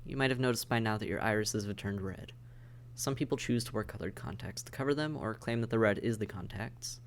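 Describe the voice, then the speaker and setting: A man's voice: fairly low pitch, brisk, a little hiss or hum, close to an everyday voice. A man playing a weary vampire intake officer in an audio roleplay, matter-of-fact and bureaucratic, with dry hesitation, speaking straight to the listener. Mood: clinical, matter-of-fact. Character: weary, businesslike male vampire intake officer mentoring newcomers. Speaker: medical examiner and caseworker.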